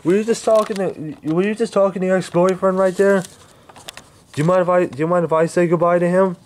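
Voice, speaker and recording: A man's voice: sits high, steady tone, fairly quick, a little mellow, a little hiss or hum, pitched above the performer's own voice, lightly acted. A man voicing a laid-back young guy who says "man" a lot. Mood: surprised.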